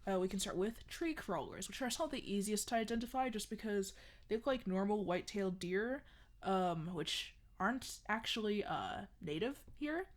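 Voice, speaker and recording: A woman's voice: medium pitch, expressive, moderate pace. A woman hosting a horror comedy fiction podcast, rambling along with lots of fillers. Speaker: podcast host playing a restaurant worker. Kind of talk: scripted horror comedy monologue.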